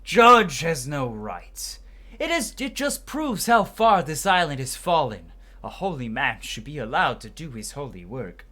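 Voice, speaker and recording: A man's voice: fairly high pitch, very animated, unhurried, pushed and bright, exaggerated voice. A man voicing a zealous holy man from a video game, solemn and declamatory in his preaching. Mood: righteous anger. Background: game music.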